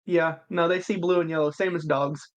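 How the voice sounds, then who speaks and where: A man's voice: a little high, fairly even intonation, a little fast. A man playing a tabletop game, talking in casual banter.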